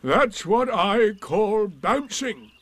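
A man voicing a gloomy old donkey in a storybook, gruff and ponderous, with deadpan, sarcastic complaining. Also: sits high, very slow, dry recording, pitched above the performer's own voice, full character voice. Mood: gloomy grumbling. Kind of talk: storybook reading.

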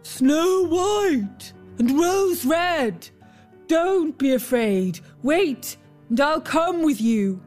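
A woman voicing a gentle male bear who calls out reassuringly, in a fairy-tale storybook style. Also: sits high, expressive, extremely slow, soft background music, voice pushed up, full character voice. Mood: reassuring, calling. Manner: reassuring, calling out. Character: gentle male bear, secretly an enchanted prince.

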